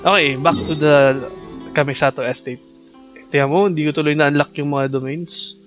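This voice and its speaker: Filipino male streamer, a bit high-pitched, excitable, yelps and laughs often. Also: a little fast, music in the background. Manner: excitable, bursts into shrieks and laughter. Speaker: game streamer. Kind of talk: live gameplay commentary mixing Tagalog and English.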